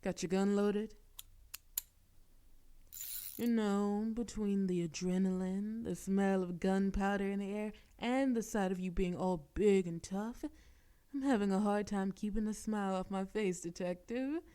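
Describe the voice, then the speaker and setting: Flirty female mafia boss, slow and mellow, as a woman plays her, teasing tone, audio roleplay. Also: medium pitch. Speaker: voice actress.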